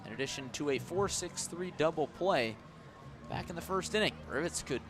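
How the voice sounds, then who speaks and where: A man's voice: fairly high pitch, expressive, moderate pace. A man calling live baseball play-by-play on radio in a descriptive way, raising his voice on the action. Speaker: play-by-play announcer. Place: outdoors at a ballpark. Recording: outdoor ambient noise behind.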